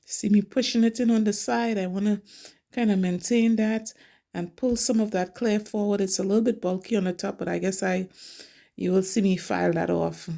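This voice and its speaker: Gravelly-voiced woman chatting through a nail tutorial. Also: medium pitch, fairly even intonation, normal speed, mellow. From Trinidad and Tobago. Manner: chatty, explains each step as she works. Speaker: nail technician. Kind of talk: casual nail tutorial narration.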